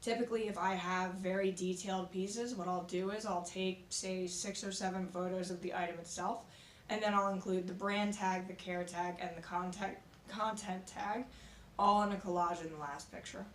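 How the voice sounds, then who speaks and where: Slightly raspy woman giving reselling tips. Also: lowish voice, fairly even intonation, normal speed. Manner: frank, practical, conversational with fillers. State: slightly raspy, recovering from illness. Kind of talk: casual tips vlog. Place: at home. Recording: echoey room with faint noise.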